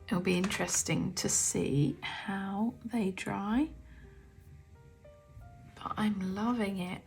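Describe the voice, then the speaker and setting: A woman with a croaky throat from the cold, casually talking through her paints as she swatches them, often half-whispering and musing aloud. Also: medium pitch. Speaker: artist demonstrating paints. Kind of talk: casual craft tutorial chat. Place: home art studio.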